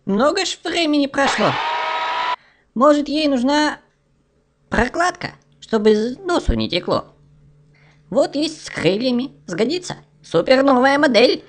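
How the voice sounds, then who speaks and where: A man's voice: very high voice, big pitch swings, unhurried, pauses often, voice pushed up, exaggerated voice. A man voicing a bumbling, hesitant quack healer who hems and haws in a rhymed comic fairy tale. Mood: hesitant advising. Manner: hemming, hesitant, swooping.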